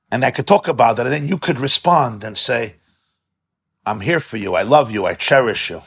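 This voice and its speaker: A man's voice: mid-range voice, animated, moderate pace, fairly bright tone, husky. A man, a rabbi, giving an informal religious lecture in a study hall, impassioned and conversational, repeating words for emphasis and mixing in Yiddish and Hebrew terms. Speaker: rabbi teaching a class.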